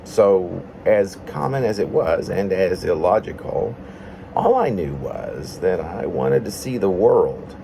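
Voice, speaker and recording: A man from Arkansas, a poet presenting and reading his own autobiographical work in an unhurried, personal way. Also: deep voice, expressive, vocal fry, background noise. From Arkansas, United States. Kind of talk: casual introduction, then reading aloud autobiographical prose poem.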